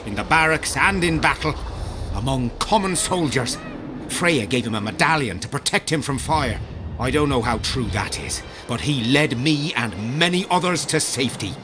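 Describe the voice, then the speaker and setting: Fantasy game war veteran, male actor: medium-pitched, rustic, proud and blunt soldier, noisy backdrop. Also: expressive, normal speed, natural voice. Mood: reflective. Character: rough, battle-hardened medieval army veteran, proud and blunt. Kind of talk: video game dialogue acting.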